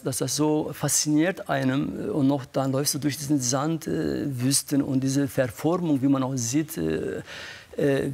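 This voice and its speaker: A man, a guest athlete, excitedly recounting a hard desert run, halting often with fillers and repeated words. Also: medium pitch, creaky. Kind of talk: emotional recounting in a studio interview.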